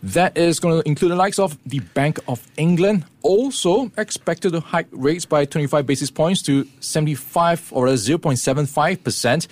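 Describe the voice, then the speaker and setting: A man presenting a morning business news briefing, explaining the week's events in a steady way with occasional fillers. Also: on the high side, moderate pace, few pauses. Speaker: radio presenter.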